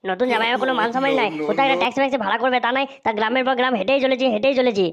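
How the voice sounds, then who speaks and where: A woman's voice: sits high, rapid-fire, lo-fi sound, crowd noise, close to an everyday voice. A woman voicing a touchy, rude, indignant young bridegroom in a comedic cartoon.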